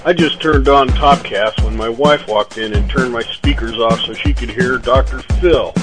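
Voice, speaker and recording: A man with a mid-pitched voice reads a scripted, joking promo announcement. Music plays prominently behind his voice. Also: normal speed. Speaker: recorded comic promo speaker. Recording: voice over loud music bed.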